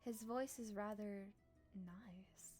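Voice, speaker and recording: A woman live-streaming a visual novel, reading aloud and reacting casually in a playful, expressive, teasing way. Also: medium pitch, takes it slowly, slightly rough, faint music behind.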